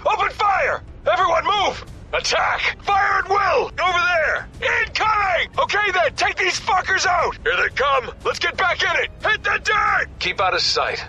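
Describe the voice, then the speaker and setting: A battle-hardened special forces squad leader for a combat game. His voice is forceful and sharp, pitched very high for a man and often pushed toward a shout. He gives orders in terse, profane bursts. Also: full character voice. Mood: tense, low. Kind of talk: video game combat voice lines.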